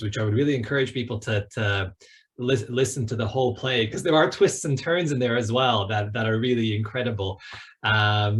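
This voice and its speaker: A man giving a semi-prepared, discursive academic talk as a panelist at an online event. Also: medium pitch. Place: online video call.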